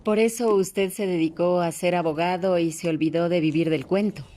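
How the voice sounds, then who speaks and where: Female interviewer, lowish pitch, formal and courteous programme-host delivery. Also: fairly even intonation, normal speed, mellow. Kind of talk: formal radio interview hosting.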